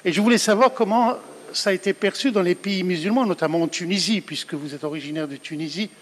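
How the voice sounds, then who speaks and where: Higher-voiced man questioning from the floor. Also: fast. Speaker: audience member asking a question. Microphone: handed microphone. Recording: reverberant hall microphone.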